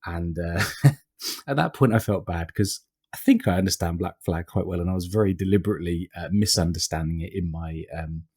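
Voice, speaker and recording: A British man hosting a podcast, his voice croaky from a cold, rambling and laughing often between fillers. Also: low voice, fairly quick, darkish tone. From Britain. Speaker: podcast host. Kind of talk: casual podcast chat.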